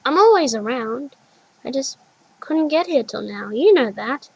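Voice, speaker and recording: An earnest little toddler girl, higher and lighter than an adult's voice, with a slight creak in its tone, as a woman puts her on while reading a story aloud. She speaks in simple childlike words, insistent and gentle. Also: expressive, unhurried, dry recording, full character voice, poor audio.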